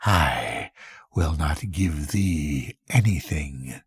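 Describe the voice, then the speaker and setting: An older man voicing Avarice, a miserly, possessive, defiant female spirit, in slow, emphatic archaic speech for a bedtime story. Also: very deep voice, expressive, slightly rough, no echo, clean studio sound, pitched below the performer's own voice, exaggerated voice.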